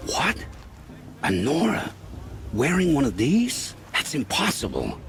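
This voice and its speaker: An actor playing a nervous, secretive male scrounger in a game cutscene, halting and evasive in his speech. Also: mid-range voice, huge pitch swings, creaky, a bit bright, faint music behind, exaggerated voice. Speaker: game character. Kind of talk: video game cutscene dialogue.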